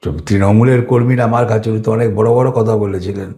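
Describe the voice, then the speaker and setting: A man's voice: lowish voice, fairly even intonation, fast, dark tone. A man with a West Bengal Bengali accent giving political commentary in an interview, indignant and combative, firing repeated rhetorical questions. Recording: echoey room, music underneath.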